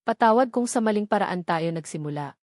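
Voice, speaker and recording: A woman's voice: mid-range voice, expressive, a little fast, a little mellow, no echo, clean studio sound, lightly acted. A woman with a Filipino accent voicing a devoted, tender man in love in an audio story, earnest and emotional.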